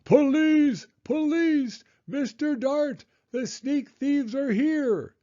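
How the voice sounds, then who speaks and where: A man's voice: very high-pitched, warm tone, lo-fi sound, voice pushed up, heavily acted. A man voicing a hurt, glum young boy hero from a storybook in a plaintive way. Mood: loud, alarmed. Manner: plaintive, drawn-out.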